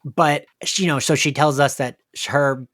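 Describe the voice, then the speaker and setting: A man's voice: a little high, normal speed, no room sound, studio quality. A man hosting a podcast, chatting casually and animatedly as he recaps a story. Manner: animated, chatty, quotes lines in playful voices. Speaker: podcast host.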